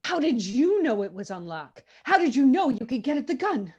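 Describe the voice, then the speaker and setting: A suspicious society lady played by a woman from a comedy whodunit, fairly high and dramatically inflected. The audio is slightly muffled with faint noise. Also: sing-song, normal speed, darkish tone. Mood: accusing. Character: accusing, indignant society lady, skeptical and suspicious. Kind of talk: live table read of a comedy mystery screenplay.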